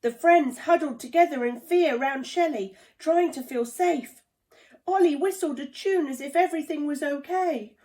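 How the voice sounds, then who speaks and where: A woman reading a children's storybook aloud in a deliberate, dramatic storytelling manner. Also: high voice, a little mellow. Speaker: play therapist reading a children's book. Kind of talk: expressive children's storybook narration.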